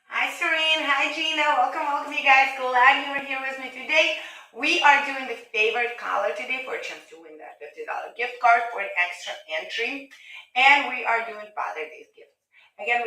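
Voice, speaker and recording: A woman's voice: on the high side, pushed and bright, reverberant, distant mic, rough recording. A woman with a Russian accent, a native Russian speaker, hosting a live shopping stream in a chatty, upbeat selling manner from her home. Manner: chatty, upbeat selling, addresses viewers directly. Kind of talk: live stream product pitch. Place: home, near kitchen.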